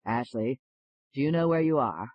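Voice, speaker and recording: A woman voicing a calm male doctor in an audiobook, speaking in a slow, reassuring, coaxing manner. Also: extremely low pitch, dry recording, highs cut off. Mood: probing.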